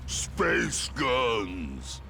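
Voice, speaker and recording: An actor playing a huge dim-witted mutant turtle brute in a video game, gravelly and booming, speaking in childlike broken sentences. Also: male voice, wildly swooping pitch, extremely slow, shouting, noisy background, heavily acted. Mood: sullen, simple.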